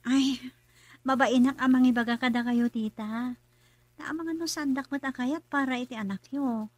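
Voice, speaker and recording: Young student, high husky voice. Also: female voice, steady tone, normal speed, dry recording. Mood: shy, reluctant. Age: young adult. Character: young female student, heartbroken, respectful to elders. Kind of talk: Filipino radio drama acting.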